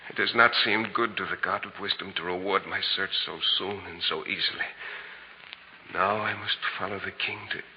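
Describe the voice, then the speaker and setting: An actor in a 1940s radio drama playing a devout, compassionate Persian Magian with solemn, formal archaic speech. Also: male voice, low voice, fairly even intonation, moderate pace, close to an everyday voice. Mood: weary, resolved. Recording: muffled, noisy 1940s radio recording.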